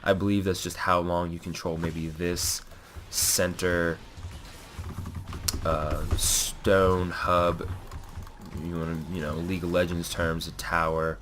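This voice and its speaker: A young man with a low, deep voice that sounds stuffy and nasal from a cold. He rambles through casual commentary on his own gameplay, filling the gaps with "uh" and "you know." Faint keyboard tapping sits behind him at the desk. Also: little pitch movement, slow, a bit bright, a little hiss or hum. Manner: rambling, frequent fillers and self-corrections. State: has a cold.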